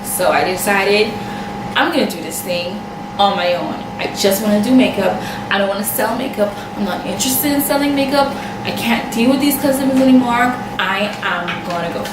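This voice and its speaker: A young woman with a Bahamian accent tells a personal story to camera in a chatty, animated way, full of asides and quoted back-and-forth. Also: mid-range voice, moderate pace, distant mic. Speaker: makeup artist vlogger. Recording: echoey room, off-mic, steady background noise.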